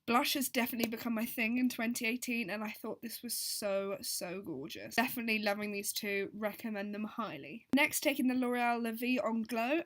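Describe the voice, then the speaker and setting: A young woman with a slightly high voice narrates her makeup routine as a voiceover. Her throat is still croaky from a recent tonsillectomy. She gushes about every product she uses. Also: a bit bright. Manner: gushing, enthusiastic product commentary. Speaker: beauty vlogger.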